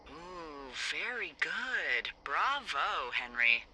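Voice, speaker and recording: Bright, wry bossy woman lookout. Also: low voice, big pitch swings, very slow, a little hiss or hum, full character voice. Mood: teasing. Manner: teasing, sardonic, casual. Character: wry, bossy, foul-mouthed female fire lookout supervisor. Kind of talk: naturalistic video game dialogue.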